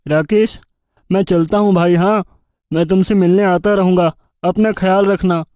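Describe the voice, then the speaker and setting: Male actor as worried young friend, high register, horror animation, dry close sound. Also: steady tone, moderate pace, a little mellow, no echo, close to an everyday voice. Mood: caring. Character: troubled young male friend recounting eerie events. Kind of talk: animated horror story character dialogue.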